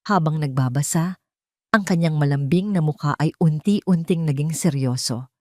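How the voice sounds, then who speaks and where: A woman's voice: deep voice, moderate pace, darkish tone, no room sound, clean studio sound. A woman with a Filipino accent reading a scripted romance drama as a storyteller, with dramatic delivery. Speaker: audio story narrator.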